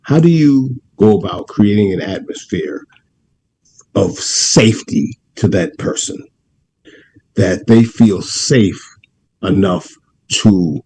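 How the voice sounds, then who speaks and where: A man's voice: lowish voice, expressive, takes it slowly, long pauses, dark tone, slight background noise, rough recording. A male talk show host asking his guest questions with enthusiasm, often repeating words as he frames them. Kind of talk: interviewing a guest.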